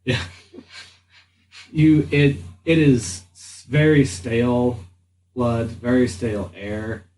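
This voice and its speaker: A man acting as game master, narrating a tabletop game in an improvised, halting way as he thinks aloud. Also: medium pitch, a little mellow. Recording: slightly distant mic, faint room noise.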